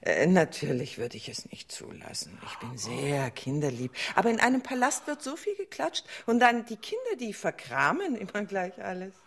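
An actress playing a coquettish, chattering queen in a German radio play, seductive and mock-innocent, slipping into breathy, intimate asides. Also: medium pitch, big pitch swings, normal speed, hardly any pauses. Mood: flirtatious, feigned innocence.

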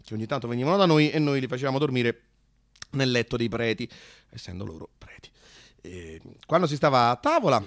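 A man with a Roman accent telling an autobiographical story as a theatrical monologue, colloquial and animated, with hesitations. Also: lowish voice, dry recording, studio quality. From Italy. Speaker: actor and storyteller.

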